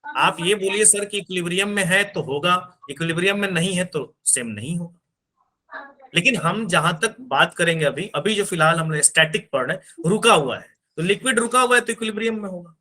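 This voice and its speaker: Guy teaching physics online, slightly high voice, keeps asking if students get it. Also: bright, forceful tone. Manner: explains step by step, often checks students understand. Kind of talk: online class lecture.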